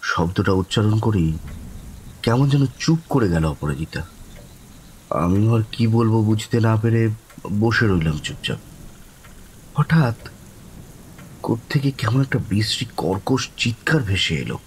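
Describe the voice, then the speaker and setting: A man playing a courteous young man who narrates his own eerie encounter in a horror audio story, lingering and expressive. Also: fairly low pitch, measured pace, long pauses, a little hiss or hum, low-quality recording. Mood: uneasy. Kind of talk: dramatic first-person horror story narration.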